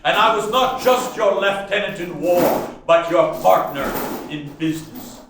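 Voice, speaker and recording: A man acting in a radio play as the doomed, solemn ghost of a dead soldier turned businessman, with a drawn-out theatrical delivery. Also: sits high. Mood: mournful, grave. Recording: echoey, distant stage recording with noise.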